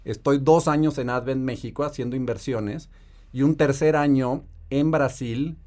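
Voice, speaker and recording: A Mexican man, probably in his thirties, a private equity investor telling his career story in a measured, articulate way. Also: mid-range voice, a little mellow. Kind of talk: podcast interview storytelling.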